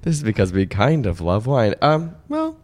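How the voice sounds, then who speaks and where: A man's voice: mid-range voice, wildly swooping pitch, moderate pace, a little mellow. A man co-hosting a casual chat, thinking aloud in a meandering way. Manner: thoughtful, meandering, many "like" fillers. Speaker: podcast co-host.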